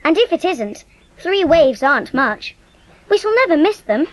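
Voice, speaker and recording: Very high-pitched, eager British girl. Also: sing-song, normal speed, dry recording, rough recording. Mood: earnest, hopeful. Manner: earnest, wondering, eager. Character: imaginative, eager, affectionate young English girl. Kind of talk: dramatised storybook audiobook.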